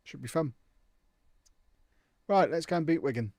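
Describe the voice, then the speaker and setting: A man's voice: fairly high pitch, measured pace, darkish tone, dry recording. Young man, casual live-stream chatter, jokey, dry close sound. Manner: chatty, jokey, answers viewers' comments. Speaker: live streamer playing a football management game.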